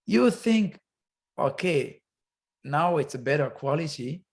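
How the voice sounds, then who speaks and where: Halting man chatting. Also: a little high, lively intonation, creaky. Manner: halting, restarts often, searches for words. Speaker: podcast co-host. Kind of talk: casual chat.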